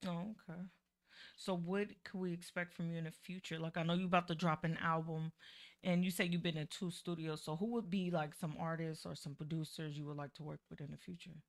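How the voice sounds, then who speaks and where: A woman hosting a casual interview in a recording studio, relaxed and chatty, peppering her talk with "you know what I'm saying.". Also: deep voice, a little fast. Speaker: host.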